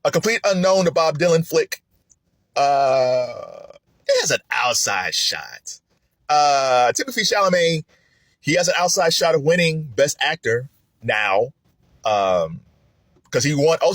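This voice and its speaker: A man talks through his film awards picks in a loose, animated way, his voice jumping up when he's excited and sinking to a near mutter when he's thinking it over. His tone is slightly husky, and he laughs often. The recording is dry and close, with no room sound. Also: a little slow, no echo. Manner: animated, chatty, laughs often, swings between excited highs and low muttering. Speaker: film commentator. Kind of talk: casual spoken commentary.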